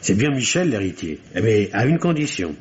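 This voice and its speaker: A man's voice: fairly low pitch, very animated, normal speed. A man playing an eager, respectful police subordinate, apologetic yet persistent and colloquial, in old French radio mystery acting. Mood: eager, reporting. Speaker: radio actor. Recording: muffled, noisy old radio recording.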